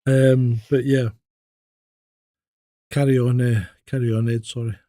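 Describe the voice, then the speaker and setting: A Scottish man with a West Coast-leaning accent, co-hosting a podcast in blunt, animated casual chat. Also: mid-range voice, measured pace, no room sound, clean studio sound. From Scotland. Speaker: podcast co-host.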